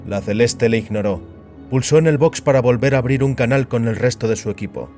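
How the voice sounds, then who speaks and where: Un hombre que narra un relato dramático con guion, como narrador de audioficción. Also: male voice, medium pitch, a little fast, dark tone, soft background music. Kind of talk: scripted dramatic story narration.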